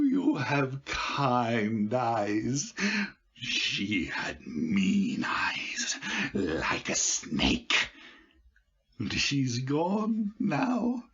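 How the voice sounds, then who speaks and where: A shabby, simple old beachcomber in an old-time radio play. He rambles slowly and pleads in rustic repetitions, his pitch lurching up and down. The sound is a little dull. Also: fairly bright tone, slightly rough, heavily acted.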